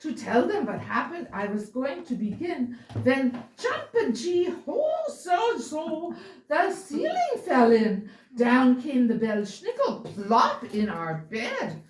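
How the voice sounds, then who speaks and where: A woman reads a rhyming holiday story aloud in a playful, theatrical, drawn-out way. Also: high-pitched, wildly swooping pitch. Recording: roomy, distant mic, faint noise.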